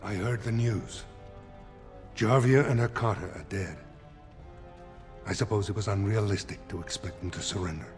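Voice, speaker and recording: A man voicing a dignified dwarf lord seeking a throne in a video game, speaking in a measured, formal manner. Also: fairly low pitch, faint music behind, natural voice. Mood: grave, formal. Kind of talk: video game character dialogue.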